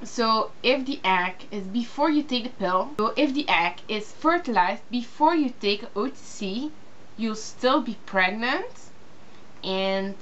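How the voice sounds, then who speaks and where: A woman's voice: medium pitch, fairly bright tone, a little hiss or hum. Hesitant young woman, lo-fi webcam. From Belgium. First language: not English. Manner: hesitant, many fillers and restarts. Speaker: vlogger. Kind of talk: casual video response. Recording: slightly dull lo-fi webcam audio.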